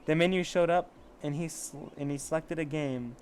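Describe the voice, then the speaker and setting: A man with a fairly high voice reads a story aloud in an informal way. He comments sarcastically and swears freely. The amateur recording has some faint background noise. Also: normal speed, darkish tone. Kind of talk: casual fan fiction reading with asides.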